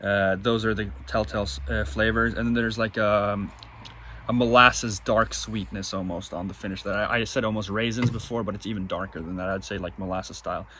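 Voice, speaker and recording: A man's voice: lowish voice, steady tone, a little fast, pushed and bright, slight background noise. A young man co-hosting a cigar review show, chatting casually and talking through flavor notes with enthusiasm.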